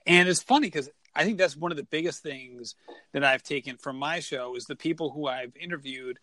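A man's voice: a little high, brisk, sharp tone, no room sound. A man hosting a podcast, chatting casually and rambling with many fillers as he asks questions. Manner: rambling, lots of "like", enthusiastic. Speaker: podcast host. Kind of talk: casual interview chat.

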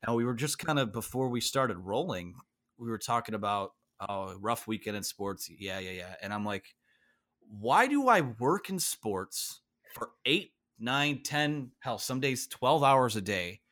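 Male sports podcast host, blunt and emphatic, mid-pitched voice. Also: sing-song, unhurried, fairly bright tone. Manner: emphatic, stern.

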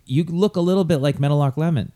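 A man's voice: fairly high pitch, fast, warm tone. A man co-hosting a podcast, chatting casually and enthusiastically while steering the conversation. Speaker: podcast co-host.

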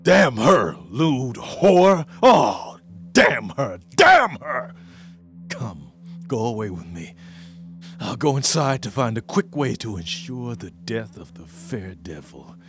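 An actor playing a jealous, vengeful military commander and tormented husband, declaiming classical verse grandly and with passion in a radio play. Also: male voice, medium pitch, very animated, takes it slowly, a bit bright, faint music behind, exaggerated voice. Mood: bitter, murderous.

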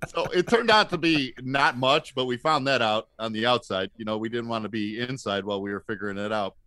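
Bright-voiced man telling funny stories. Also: mid-range voice, fairly quick, no echo. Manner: laughs often while talking, acts out shouted quotes. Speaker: comedian guest on a podcast, works in elevators. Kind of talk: casual comic storytelling.

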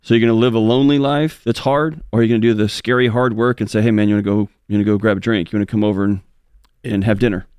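A man's voice: on the low side, fairly even intonation, rapid-fire, darkish tone, smooth, dry recording, studio quality. A man co-hosting a talk show, speaking earnestly in casual conversation with frequent restarts. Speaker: co-host.

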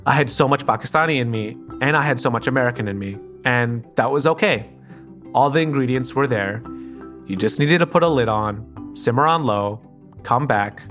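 A man reporting and narrating a personal story for a podcast, in a calm, thoughtful, plainly spoken way. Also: medium pitch, normal speed. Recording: music clearly under the voice.

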